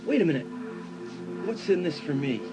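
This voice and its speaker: A man's voice: fairly high pitch, big pitch swings, moderate pace. An actor playing a nervous, cautious teenage boy with a sardonic, nagging manner in a dramatised audiobook. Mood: uneasy, wry. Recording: music behind the voice.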